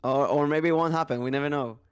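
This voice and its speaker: A man hosting a casual podcast, talking in a chatty, rambling way with a slightly tired, raspy voice. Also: fairly high pitch, quick pace. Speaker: podcast host.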